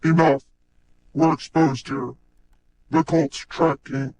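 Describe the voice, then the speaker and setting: Man acting a grim, slow-talking cyborg. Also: steady tone, a little mellow, dry recording. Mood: grim warning. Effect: pitch-shifted cyborg voice processing. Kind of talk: dramatic game-style character lines.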